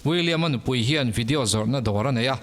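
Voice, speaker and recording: A man's voice: mid-range voice, quick pace. A man who is a native Mizo speaker, narrating mystery stories in a scripted storytelling style. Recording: long reverb, music underneath. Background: background music.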